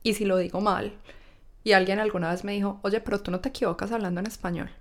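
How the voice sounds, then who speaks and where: A young woman talks through her experience as a podcast guest, laying things out step by step in an earnest, casual way. Her voice has a gravelly, fry-edged texture at an average pitch. She is recorded in an apartment. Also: fairly even intonation, quick pace. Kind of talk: casual conversational interview. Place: apartment living room.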